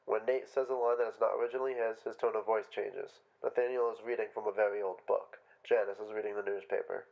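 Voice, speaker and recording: A man's voice: lowish voice, fairly even intonation, fast, creaky, hardly any pauses, darkish tone, muffled recording. A man reading stage directions as scripted narration for a play.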